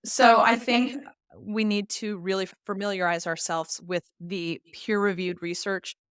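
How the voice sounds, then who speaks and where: A woman's voice: medium pitch, steady tone, a little slow, fairly bright tone, clean tone, no echo, studio quality. A woman giving articulate, earnest explanations as an expert guest in an interview. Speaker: literacy expert and author, podcast guest.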